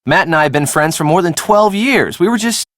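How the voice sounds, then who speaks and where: Actress doing young game character gal, breezy n sarcastic, super lively pitch, dry sound. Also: female voice, brisk, no room sound, natural voice. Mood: defensive. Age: young adult. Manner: casual, slangy, wry. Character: breezy, sarcastic young woman, evasive friend of the groom. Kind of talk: video game dialogue acting.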